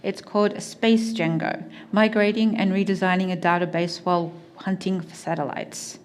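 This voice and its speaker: A woman's voice: lowish voice, steady tone, normal speed. A woman chairs a conference session and introduces the next speaker, reading his bio with a few fillers. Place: conference hall.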